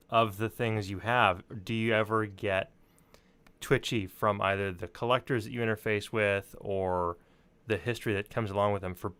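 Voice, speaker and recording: A man hosting a casual interview, halting as he thinks his questions out loud. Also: lowish voice, clear, resonant tone. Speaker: show host, interviewer.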